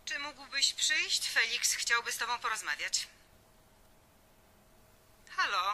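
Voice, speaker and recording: An actress playing an insistent, exasperated office secretary on a call in an audio drama. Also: female voice, a little high, big pitch swings, takes it slowly, pushed and bright, exaggerated voice. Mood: impatient. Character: impatient, breezy female office secretary.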